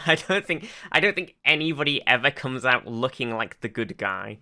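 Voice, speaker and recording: Bright-voiced, halting podcast fan. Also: mid-range voice. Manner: hesitant, often repeats words and restarts. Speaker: podcast co-host. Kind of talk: casual fan discussion of a TV show.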